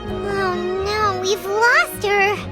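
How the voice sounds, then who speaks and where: A woman voicing a small, childlike, excitable fairy companion with a squeaky voice in an anime game. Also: extremely slow, music in the background, full character voice. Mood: indignant. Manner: speaks of herself in third person, excitable.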